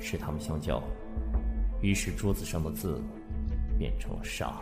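An actor playing a lively young man who loves diving and also narrates in a Chinese audio drama. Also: extremely low pitch, normal speed, loud music behind the voice. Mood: subdued narration.

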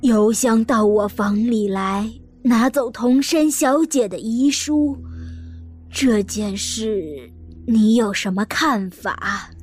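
An actress playing a composed, polite old lady who gently probes in an audio drama. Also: fairly high pitch, slightly rough, soft background music. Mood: gently probing. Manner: courteous, measured.

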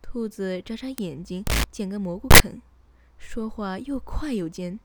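Woman radio host doing soothing bedtime story narration, medium pitch, lots of noise behind her. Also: a little slow.

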